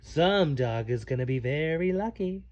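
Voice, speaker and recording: A man voicing a gentle, patient mother from a children's storybook, soothing and reassuring. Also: fairly high pitch, lively intonation, unhurried, lo-fi sound.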